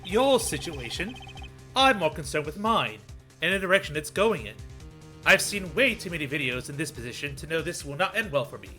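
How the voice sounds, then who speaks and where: Man putting on an animated, formal prosecutor, indignant and bright. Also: fairly high pitch, brisk, soft background music. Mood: composed, wary. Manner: formal, dramatic, emphatic. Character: proper, indignant male prosecutor accused of murder. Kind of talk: live fan dub of a video game.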